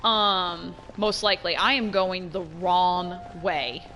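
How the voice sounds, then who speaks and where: A woman giving casual let's-play commentary, chatty and excitable, thinking out loud as she plays. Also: on the low side, slow. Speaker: gaming streamer. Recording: game music behind. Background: game music.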